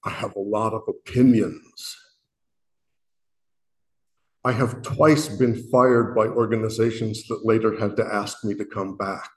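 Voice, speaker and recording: A man from Illinois with a booming voice, speaking earnestly and self-deprecatingly to a worship meeting, often pausing and restarting his sentences. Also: fairly even intonation. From Illinois, USA. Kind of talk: reflective spoken message.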